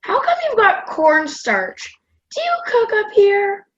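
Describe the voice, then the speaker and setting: A woman playing an excitable, curious, blunt young grandchild in an amateur radio play. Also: extremely high pitch, takes it slowly, dull audio, exaggerated voice. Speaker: student radio play performer.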